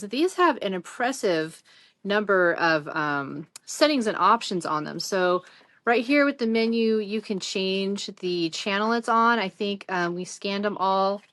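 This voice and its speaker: A woman's voice: medium pitch, a bit bright, no room sound. A middle-aged woman giving a casual, chatty product review in a rambling, conversational, informal way.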